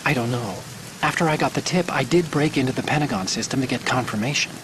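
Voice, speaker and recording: A man's voice: medium pitch, fast talker, close to an everyday voice. A man plays a nervous male hacker guiding a partner over the radio in a game. He speaks hesitantly, with a mid-range voice. Rain noise sits steadily behind him. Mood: worried. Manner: hesitant, anxious. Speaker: tech support on radio. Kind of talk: video game cutscene dialogue.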